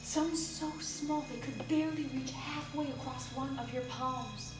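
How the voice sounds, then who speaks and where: A woman reading a literary story aloud in a live theater performance, with deliberate, suspenseful phrasing. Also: high-pitched. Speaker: reader in a staged ensemble reading. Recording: echoey distant live theater recording with music. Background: music and sound design.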